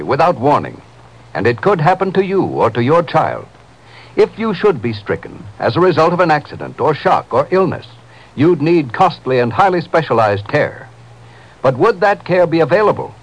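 A man's voice: fairly high pitch, rough recording. A man reading a scripted 1950s radio charity appeal in an earnest, dramatic, emphatic manner.

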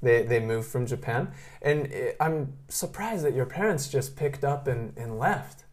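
A man interviewing a guest in a casual, friendly way, full of fillers and quick affirmations. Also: mid-range voice, lively intonation, a little mellow.

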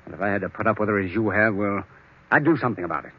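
An actor playing a hard, scheming ex-lawyer in an old-time radio drama, blunt, needling and insinuating. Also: male voice, fairly low pitch, racing, slightly rough. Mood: scornful. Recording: muffled old radio recording.

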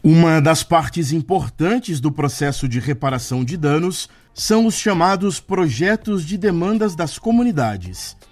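A man's voice: fairly high pitch, expressive, measured pace, few pauses. A man with a Brazilian accent presenting a radio programme in scripted narration. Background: music.

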